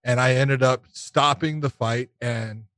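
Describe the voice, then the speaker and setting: A man's voice: mid-range voice, steady tone, a little slow, fairly bright tone, no room sound. Emphatic man on a dry podcast mic. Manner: emphatic, repeats points for stress. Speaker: podcast co-host, former referee. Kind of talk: casual sports podcast chat.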